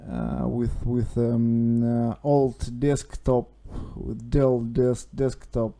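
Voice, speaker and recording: A man with a Russian accent chatting casually as an amateur radio operator, hesitant and halting as he searches for words. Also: medium pitch, creaky, mellow. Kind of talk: casual radio contact chat. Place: at home, indoors.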